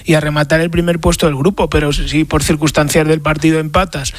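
Man giving steady football analysis. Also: fairly high pitch, quick pace, no echo. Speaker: football reporter. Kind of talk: radio sports analysis.

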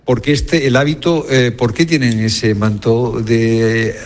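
A man's voice: medium pitch, fairly even intonation, slow. A man reporting live for radio from inside a convent parlor, talking with plenty of fillers and restarts. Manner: hesitant, many fillers and restarts. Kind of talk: on-location radio interview.

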